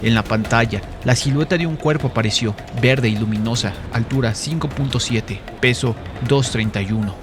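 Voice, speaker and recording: A man's voice: medium pitch, normal speed. A man giving dramatic scripted narration of a story in a solemn, theatrical manner. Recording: music behind the voice.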